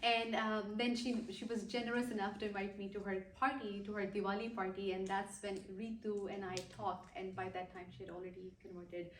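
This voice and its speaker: A woman's voice: medium pitch, little pitch movement, fairly quick, few pauses, recorded from a distance, slight background noise. Woman speaking earnestly in an echoey room. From Pakistan. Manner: earnest, hesitant with many fillers. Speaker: guest speaker. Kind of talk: personal testimony talk.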